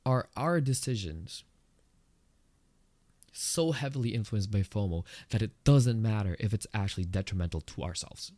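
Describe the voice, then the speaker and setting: A man with a Filipino accent hosting his own podcast, talking through a personal topic in an earnest, conversational way and jumping up in pitch for emphasis. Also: moderate pace, darkish tone, no echo. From Philippines. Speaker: podcast host. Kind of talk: solo reflective podcast talk.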